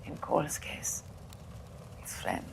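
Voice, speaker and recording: An actress playing a troubled, guarded young female patient in a dramatic video game, speaking softly and with measured delivery. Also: noisy background, close to an everyday voice. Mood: subdued, earnest. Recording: background music behind the voice.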